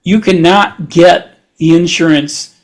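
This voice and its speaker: Higher-voiced man in casual chat. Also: fairly even intonation, slow. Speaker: podcast co-host.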